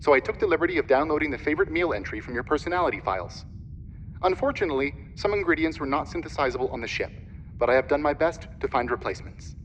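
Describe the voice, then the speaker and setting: A man voices a clinical, polite spaceship computer for a radio play. He delivers precise information at a brisk clip in a slightly high register. The sound is muffled. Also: expressive, a bit bright. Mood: formal, attentive. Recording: muffled, band-limited sound with background noise.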